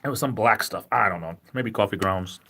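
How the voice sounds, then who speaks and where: Rambling Rhode Island man, low voice. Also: fairly quick, pushed and bright, a little hiss or hum. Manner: rambling, self-deprecating, chatty. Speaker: livestream host. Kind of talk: casual livestream chat.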